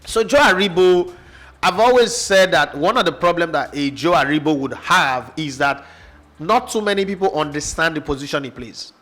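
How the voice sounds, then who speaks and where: Nigerian male sports host, slightly high voice, heated and argumentative, lots of rhetorical questions. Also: moderate pace, a bit bright. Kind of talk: opinionated football analysis chat.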